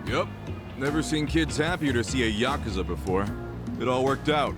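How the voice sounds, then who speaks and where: An actor voicing an eager junior yakuza sidekick in a video game dub, talking in cocky slang. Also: male voice, mid-range voice, animated, normal speed, over music, natural voice. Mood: casual. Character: young junior yakuza sidekick, eager, mock-threatening debt collector.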